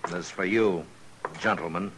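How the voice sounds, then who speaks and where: An actor playing a firm, weary frontier marshal in a terse, commanding 1950s radio western style. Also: male voice, low voice, normal speed, a bit bright, rough recording. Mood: stern, commanding. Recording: old radio recording with crowd noise.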